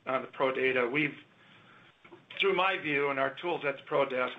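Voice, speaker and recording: A man, a company executive, answering analyst questions in a measured, explanatory way on an earnings call. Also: medium pitch. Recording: phone-line audio.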